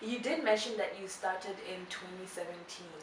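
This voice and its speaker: A woman's voice: fairly low pitch, normal speed. A woman hosting a casual interview show, bubbly and chatty, often saying "like". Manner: bubbly, chatty, says like a lot. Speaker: talk show host. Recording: echoey room from far off, noisy background.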